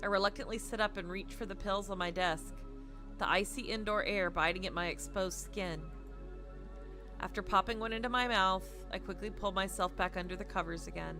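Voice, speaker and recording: A woman livestreaming a visual novel, switching between playful, chatty asides and expressive read-aloud narration. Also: medium pitch, brisk. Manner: playful, chatty, self-deprecating. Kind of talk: casual stream chat mixed with expressive read-aloud narration. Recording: music playing behind the voice. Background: game music.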